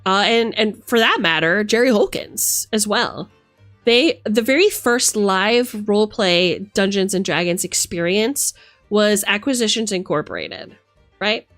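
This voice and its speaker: A woman's voice: mid-range voice, a little slow, fairly bright tone, no room sound. Emphatic, exasperated woman streamer over music. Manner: emphatic, exasperated, sweary, theatrical. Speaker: streamer and tabletop game master. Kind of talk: casual opinionated rant to stream viewers.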